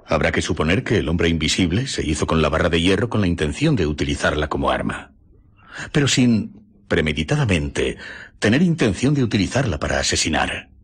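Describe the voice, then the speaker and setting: Thoughtful gentleman doctor, Spain accent, radio play. Very deep and husky, reflective, theatrical. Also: very animated, a little fast, exaggerated voice. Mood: pensive, concerned. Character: thoughtful, troubled gentleman doctor reasoning out a crime. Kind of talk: Spanish radio drama acting.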